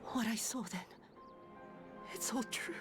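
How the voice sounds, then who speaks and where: An actress playing a timid, remorseful woman in a fantasy game, halting and apologetic. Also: a little high, lively intonation. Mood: remorseful, distressed. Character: timid, remorseful woman who lost her memory. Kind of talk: dramatic fantasy game acting. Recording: game music behind the voice.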